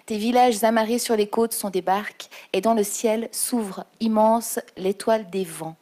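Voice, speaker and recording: A woman's voice: mid-range voice, fairly even intonation, a little slow, mellow. A woman hosting a live radio show, speaking with enthusiasm and reading a poem aloud. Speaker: radio host. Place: radio studio with live audience.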